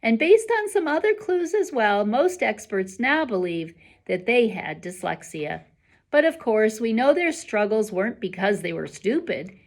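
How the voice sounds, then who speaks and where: A woman's voice: on the high side, big pitch swings, a little slow, heavily acted. A woman voicing an encouraging, earnest male schoolteacher from a storybook, addressing a class with emphatic rhetorical questions. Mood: warmly emphatic.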